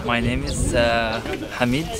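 A man with a Moroccan accent giving a student volunteer testimonial in halting, non-native English. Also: mid-range voice, steady tone. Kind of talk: interview testimonial. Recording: outdoors amid loud crowd.

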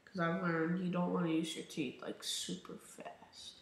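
Young male gamer, rambling casual commentary, slow-talking, hoarse from losing his voice, very echoey room. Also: high-pitched, monotone, a little hiss or hum. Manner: rambling, chatty, talks to viewers. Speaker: gaming channel host.

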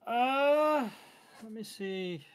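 A woman's voice: fairly high pitch, animated, extremely slow, clear, resonant tone. A woman co-hosting a livestream in casual, chatty conversation. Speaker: livestream co-host.

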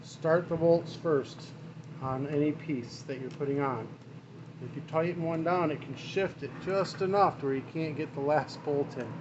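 A man's voice: on the high side, moderate pace, lo-fi sound. A man, a small engine mechanic, talking casually through a hands-on repair in his workshop. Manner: casual, talks while working. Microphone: camera mic, speaker off-frame. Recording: noisy workshop, engine running. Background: engine and shop noise.

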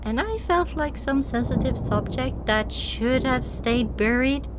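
A woman's voice: high-pitched, animated, a little slow. A woman narrating a scripted first-person story as an audiobook reader. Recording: clearly noisy background.

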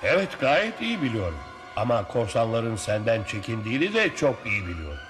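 An earnest, devout cartoon merchant, persuasive in manner. The pitch is middling but moves expressively, with faint music behind. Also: male voice, huge pitch swings, moderate pace. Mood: assured. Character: earnest, devout merchant entrusting a precious cargo. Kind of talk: dubbed cartoon acting.

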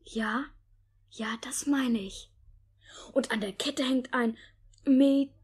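A young male as a gentle orphan boy in an old radio play. He speaks slowly and earnestly in a high, soft voice. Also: fairly even intonation, husky, full character voice. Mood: wistful, eager. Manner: earnest, soft-spoken, polite. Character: Oliver Twist, gentle, grateful orphan boy. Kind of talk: 1950s German radio drama acting.

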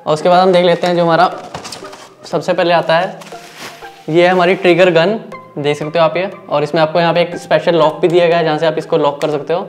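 Brief: male reviewer, quick and monotone delivery, faint music underneath. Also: on the high side. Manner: quick, plain explaining to viewers. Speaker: product reviewer. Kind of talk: casual unboxing and demo narration.

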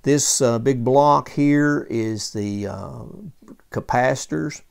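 A man giving a casual, unscripted technical walkthrough as a tutorial presenter, halting as he thinks aloud. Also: mid-range voice, gravelly, clear, resonant tone. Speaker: presenter of vintage radio repair tutorial. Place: indoors at a workbench.

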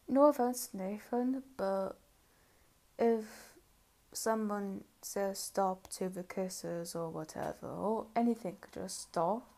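Woman doing reaction commentary, soft dark tone, slow, kinda hesitant and repeats herself. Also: mid-range voice, creaky. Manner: hesitant, hedging, repetitive.

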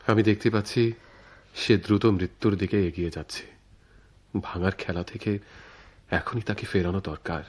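Low-voiced brooding male playwright. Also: a little mellow. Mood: grave, brooding. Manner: theatrical, deliberate, declamatory. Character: brooding male playwright, philosophical, detached, fatalistic. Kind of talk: Bengali radio drama acting.

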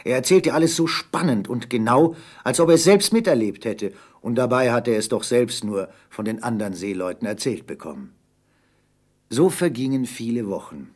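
A man's voice: medium pitch, expressive, normal speed, darkish tone. A man narrating an adventure story in a dramatic, scripted style.

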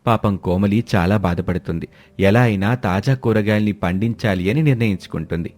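Male narrator for an animated moral tale, steady and low-pitched. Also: fairly quick. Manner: steady, storytelling. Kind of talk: scripted story narration.

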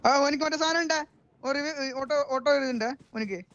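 A man's voice: very high voice, quick pace, dull audio, very noisy. A man with a northern Kerala Malayalam accent, making clipped, repeated callouts to teammates in casual gaming voice chat.

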